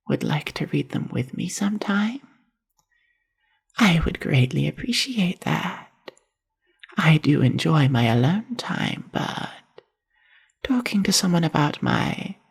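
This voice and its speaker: A very breathy, husky young monster librarian, half spider and bookish, played by a woman, speaks softly in an ASMR roleplay. Her voice is heavy with creak and moves slowly, with formal, reserved and carefully measured wording. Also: lowish voice, heavily acted. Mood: shyly hopeful. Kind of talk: ASMR roleplay, soft-spoken monologue to the listener.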